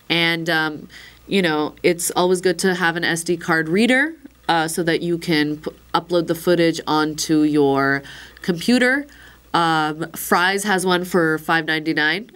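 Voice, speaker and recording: A slightly hoarse woman presenting a casual how-to demonstration of a recorder, chatty and relaxed, with joking asides. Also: fairly low pitch. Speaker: product demo presenter.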